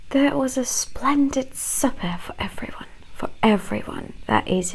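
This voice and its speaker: A woman with a mixed British and Canadian accent whispering a story in a gentle, hushed ASMR storytelling style. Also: sits high, creaky, low-quality recording. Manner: gentle, hushed, unhurried delivery.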